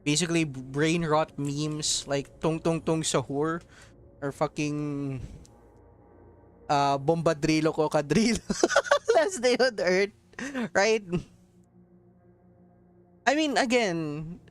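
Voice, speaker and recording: Man, from Southeast Asia, unhurried, rambling gameplay chat, laughs a lot. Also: fairly high pitch, clean tone. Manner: rambling, laughs often, swears casually. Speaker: mobile game streamer. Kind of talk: casual gameplay commentary.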